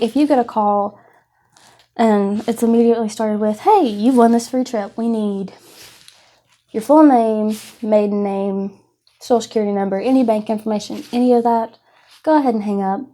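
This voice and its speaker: A woman's voice: medium pitch, warm tone. A woman giving an informal instructional talk in a conversational, explanatory, cautionary manner. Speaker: presenter of a scam awareness class.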